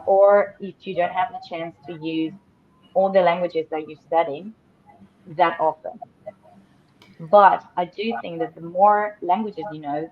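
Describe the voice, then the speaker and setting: A woman with a lowish voice chats casually in a group conversation. is her language. The sound is a little muffled, like an online call. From Italy. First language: Italian. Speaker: guest in a language conversation panel. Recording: dull, band-limited online call audio.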